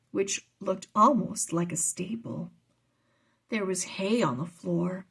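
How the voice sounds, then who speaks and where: A woman's voice: mid-range voice, gravelly, fairly bright tone, slightly rough. A woman reading a children's chapter book aloud as narrator. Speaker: storybook reader.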